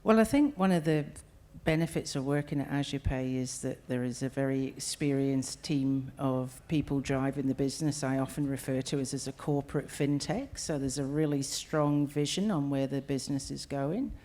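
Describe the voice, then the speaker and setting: A woman with an Australian accent answering on a conference panel, her voice nearly gone, talking in a friendly, halting way. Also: very deep voice, steady tone, warm tone. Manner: friendly, halting, repeats words.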